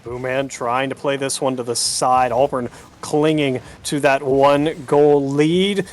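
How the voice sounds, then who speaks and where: A man's voice: mid-range voice, steady tone, takes it slowly, a little hiss or hum. A man working as an esports caster, giving live commentary on a video game match. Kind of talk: live Rocket League commentary.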